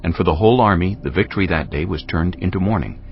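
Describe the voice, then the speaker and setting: A man narrating scripture in a solemn, measured, scripted dramatic style. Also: low-pitched, dull audio, faint music behind.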